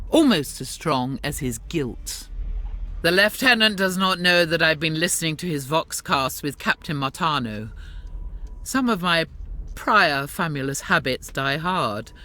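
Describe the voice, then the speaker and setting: An actress voicing a devout, zealous warrior nun with a stern, commanding delivery. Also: female voice, sits low, sharp tone, full character voice. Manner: fervent, commanding. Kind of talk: video game cutscene voice acting.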